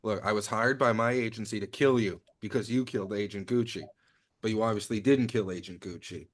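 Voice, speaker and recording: A man's voice: on the low side, brisk, lightly acted. A man playing an apologetic, awkwardly caring secret agent in a comedic script table read. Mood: conciliatory.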